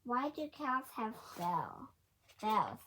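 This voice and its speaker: Woman voicing an eager, slow-talking storybook cow. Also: fairly high pitch, very animated, fairly bright tone, slight background noise, exaggerated voice, poor audio. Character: excitable joke-loving female cow in a children's storybook.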